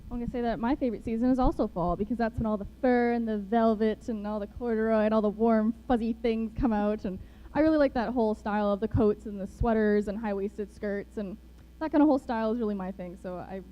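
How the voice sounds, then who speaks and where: A woman's voice: on the high side, quick pace. An eighteen-year-old woman chatting casually as an interview guest. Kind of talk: casual chat. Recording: dull lo-fi, faint noise.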